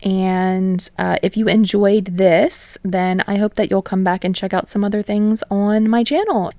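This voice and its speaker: A woman's voice: mid-range voice, fairly even intonation, unhurried, warm tone, no echo. A woman reading scripted fiction as a podfic narrator, close to the microphone. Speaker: podfic narrator and channel host.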